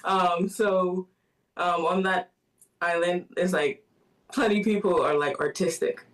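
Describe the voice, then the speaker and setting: A woman from the Bahamas, answering interview questions softly, with many fillers and small laughs. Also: on the low side, fairly even intonation, takes it slowly. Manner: soft-spoken, many fillers and little laughs. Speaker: guest artist. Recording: voice somewhat distant from the mic.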